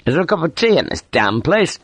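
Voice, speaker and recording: A man voicing a gruff, scarred soldier in an audiobook story, curt, blunt and scornful, with a growl. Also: on the high side, very animated, quick pace, a bit bright, dry recording, pitched above the performer's own voice, exaggerated voice. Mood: irritated. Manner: curt, blunt, impatient, swearing.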